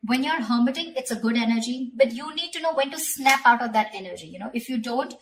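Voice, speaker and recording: A woman's voice: a little high, expressive, fast, background noise. A woman giving a conversational tarot reading straight to the viewer, often repeating phrases and checking in with short tag questions. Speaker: tarot reader.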